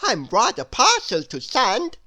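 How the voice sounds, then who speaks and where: A woman's voice: fairly high pitch, wildly swooping pitch, measured pace, pushed and bright, no room sound, clean studio sound, pitched above the performer's own voice, heavily acted. A woman voicing a silly male storybook character who talks nonsense in a cheerful, matter-of-fact manner.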